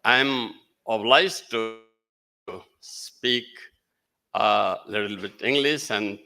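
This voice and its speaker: A man, a native Nepali speaker with a Nepali accent, giving a halting, emphatic formal speech as guest speaker at a ceremony. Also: on the low side, pushed and bright. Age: older.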